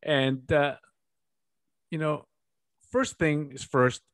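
A man's voice: on the high side, fairly even intonation, slow, fairly bright tone, dry recording, studio quality. A man in his forties, raised in Ecuador, hosting a casual video-call interview and calling his guest "buddy". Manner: friendly, calls guest buddy. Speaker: podcast host. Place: small home office. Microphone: studio mic on video call.

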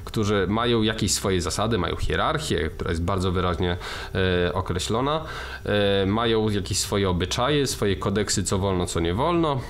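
A man with a somewhat low voice talks through a film discussion in a casual, halting way. He restarts often and fills pauses while he searches for the right word. A faint background noise sits under the recording. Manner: hesitant, many restarts and fillers, thoughtful. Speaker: podcast co-host.

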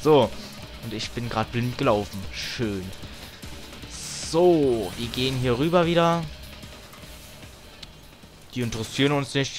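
Slow-talking man commentating a video game. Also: mid-range voice. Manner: grumbling, mild swearing; tongue clicking. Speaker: let's play gamer. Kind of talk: casual gameplay commentary. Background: video game music.

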